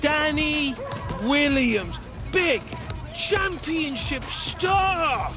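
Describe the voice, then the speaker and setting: A cocky, teasing footballer in a game cutscene. His voice sits high and swings a lot. Music plays behind him. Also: expressive, drawn-out words, exaggerated voice. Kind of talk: video game cutscene acting.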